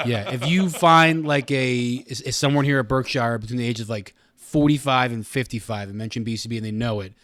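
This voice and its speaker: A man's voice: mid-range voice, fairly quick, few pauses, fairly bright tone, no echo, clean studio sound. An American man in his thirties explaining things in a chatty, wordy way as a guest in a casual interview. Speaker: radio station communications director, interview guest.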